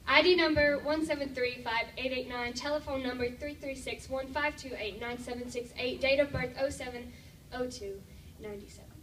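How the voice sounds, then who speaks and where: A teenage young woman delivering dramatic spoken-word poetry in an emphatic, deliberate, theatrical way. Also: sits high, fairly even intonation, fairly bright tone. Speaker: slam poet. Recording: distant, echoey room with faint noise.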